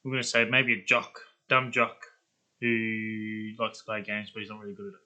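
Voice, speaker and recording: A man with a slightly congested voice chats casually as a channel host, thinking out loud about character voices. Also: on the low side, monotone, normal speed, lo-fi sound. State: slightly congested, sniffly nose.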